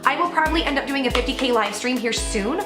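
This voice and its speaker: A woman hosting a commentary vlog update, blunt, profane and emphatic, with a loud voice that sounds worn from overuse. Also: high voice, lively intonation, fast, music in the background. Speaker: commentary channel host. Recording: echoey room.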